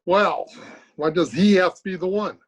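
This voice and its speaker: A man's voice: high-pitched, moderate pace. A man playing a sarcastic, exasperated middle-aged doctor in an old-time radio comedy, trading dry insults. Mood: grumpy. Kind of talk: 1950s radio comedy acting.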